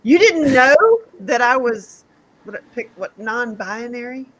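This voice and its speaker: A woman hosting a live chat show, playful and loud, laughing often and teasing her guests. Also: on the high side, a little slow, rough recording. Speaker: host. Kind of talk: casual chat.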